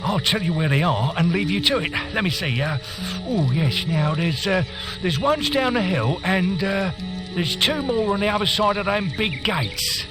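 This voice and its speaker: A man's voice: sits high, expressive, moderate pace, over music. A man playing a timid young rookie guard in a fantasy video game, hesitant and flustered. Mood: nervous, flustered.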